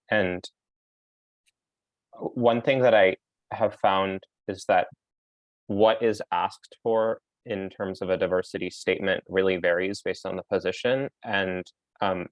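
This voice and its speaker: A man with a very low voice speaks slowly and thoughtfully, weighing each point and filling his pauses with ums. The sound is dry and close, with no room echo. He comes across as a faculty panelist offering careful, measured advice.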